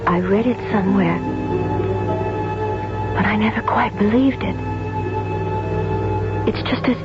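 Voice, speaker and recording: An actress playing a jealous, obsessive young woman in an intense, theatrical 1970s radio drama style. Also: a little high. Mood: cold, stunned. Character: jealous, obsessive young bride-to-be turned murderess. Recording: dull vintage radio recording with music.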